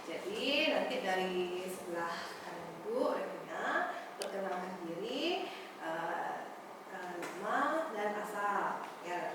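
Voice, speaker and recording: Woman guiding a classroom group. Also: lowish voice. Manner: friendly, halting with many fillers. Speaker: school counselor trainee. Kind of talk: leading a group counseling session. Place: school classroom. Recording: echoey room from far off, hiss.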